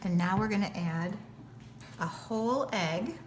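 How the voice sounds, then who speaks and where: A woman's voice: medium pitch, unhurried. An American woman giving patient, step-by-step cooking instruction in simple, plain terms. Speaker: teacher giving a cooking demonstration. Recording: noticeable background noise.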